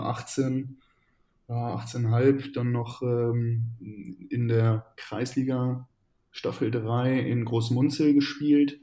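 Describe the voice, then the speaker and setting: A man in his twenties from the Hannover region, a football player answering interview questions casually, pausing often with fillers and restarting sentences. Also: mid-range voice, darkish tone. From Hannover region, Germany. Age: 20s. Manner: hesitant, many fillers and restarts. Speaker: professional football player, guest.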